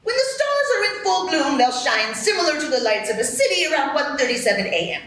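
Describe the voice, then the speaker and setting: A high, bright, lively voice of a fussy rabbit herald, played by a woman, announcing in a formal, officious way. The live radio play sounds echoey, with faint noise. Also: brisk.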